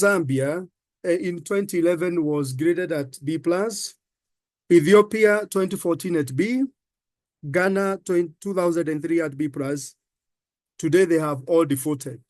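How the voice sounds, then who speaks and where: A man with a Kenyan accent, a finance professor giving halting comments on a panel with many fillers and repeated words. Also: sits high, darkish tone, no echo, studio quality.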